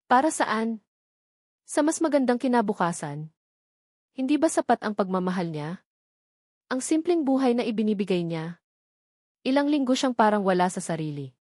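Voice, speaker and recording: A Filipino woman narrates a romantic audio drama in a mid-pitched voice. The sound is dry and close, without room echo. She phrases each line expressively and with measured suspense, as a storyteller does. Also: a little mellow.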